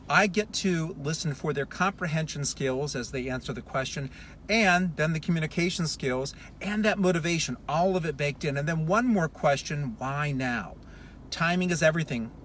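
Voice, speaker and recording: Male consultant, fairly high register, emphatic explainer style with deliberate pauses, light ambient noise behind. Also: fairly bright tone. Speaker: hiring consultant giving advice. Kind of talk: advice talk to camera, explanatory.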